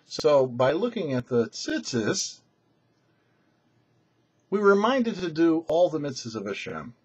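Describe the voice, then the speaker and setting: Husky man teaching scripture. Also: fairly high pitch, very animated, slow pace, highs cut off. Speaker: rabbi, show presenter. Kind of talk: short religious teaching, prepared talk.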